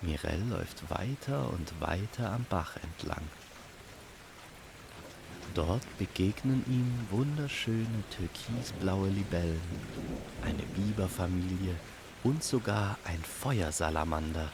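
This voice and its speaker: A man reads a fantasy tale as a measured storyteller. His voice is low and creaky, and he takes his time with each phrase. Rain is clearly audible behind him. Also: expressive, noisy background. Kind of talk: scripted fantasy story narration.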